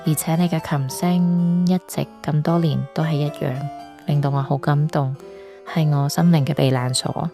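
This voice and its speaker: An actress playing a sincere, emotionally wounded young lecturer in a Cantonese radio drama, with a Hong Kong accent. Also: female voice, low-pitched, fairly even intonation, normal speed, mellow, soft background music. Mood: moved, grateful.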